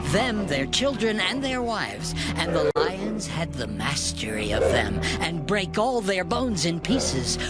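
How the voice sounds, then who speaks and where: A man narrating a dramatized scripture reading in a measured, solemn manner. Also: fairly high pitch.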